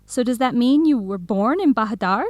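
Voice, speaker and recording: A young woman playing a supportive, excitable best friend in an educational radio drama, eager, expressive and full of questions. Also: fairly high pitch, moderate pace, no room sound, clean studio sound, lightly acted. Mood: curious. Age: young adult.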